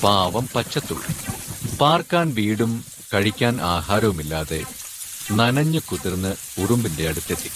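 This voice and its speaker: A man tells a children's moral story in a steady, even storytelling manner. His voice sits on the low side. Music plays clearly beneath the narration throughout. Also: measured pace. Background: background music.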